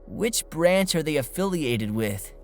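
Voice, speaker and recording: A man's voice: on the high side, very animated, a little slow, a little mellow, faint music behind. A man playing a laid-back but flustered space passenger in an audio drama, talking casually with a drawl. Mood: uneasy, casual.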